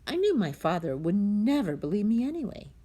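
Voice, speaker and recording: A woman reading a children's story aloud in a dramatic, suspenseful manner, with a husky, breathy voice that drops into hushed tones. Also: medium pitch, big pitch swings, a little fast. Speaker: storybook reader.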